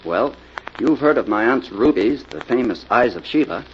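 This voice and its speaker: A man's voice: medium pitch, lively intonation, moderate pace, lo-fi sound, slight background noise, close to an everyday voice. An actor playing a debt-ridden young man about town, a brash and defensive schemer, in a 1940s radio drama on an old recording. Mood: sly, scheming.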